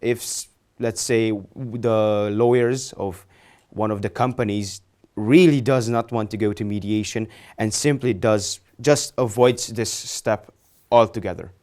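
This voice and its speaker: A man's voice: lowish voice, measured pace, darkish tone. A young man hosting a studio interview, asking long, polite questions with frequent restarts and self-corrections. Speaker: interview host. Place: studio set, indoors.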